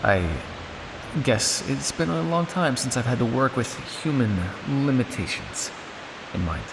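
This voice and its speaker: Man playing a sardonic vampire scientist, lively swooping delivery. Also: medium pitch, a little slow, gravelly, in a large hall, noisy background. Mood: teasing, grudgingly gentle. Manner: sardonic, condescending, with exasperated sighs and dry asides. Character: cynical, world-weary male vampire scientist on the run. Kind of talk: intimate ASMR roleplay monologue.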